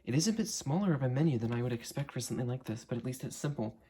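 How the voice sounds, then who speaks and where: A young man in his late teens giving a casual, unscripted product demo in a halting, understated way. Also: mid-range voice, animated, dark tone, a little hiss or hum. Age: late teens, young adult. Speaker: hobbyist reviewer. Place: indoor room at a desk.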